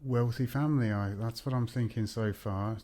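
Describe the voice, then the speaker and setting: A man's voice: medium pitch, normal speed, dark tone. A man hosts a podcast interview in a friendly, conversational way. He often stops and restarts his sentences as he gropes for the words of his questions. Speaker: podcast host, chiropractor.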